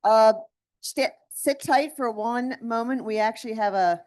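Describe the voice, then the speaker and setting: Brisk woman moderating a Q&A. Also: fairly high pitch, a little mellow. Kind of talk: conference Q&A moderation.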